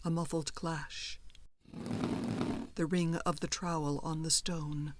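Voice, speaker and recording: Slow, dramatic woman narrator. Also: low voice, flat delivery, very noisy. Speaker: audiobook narrator. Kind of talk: dramatic scripted narration of a horror story.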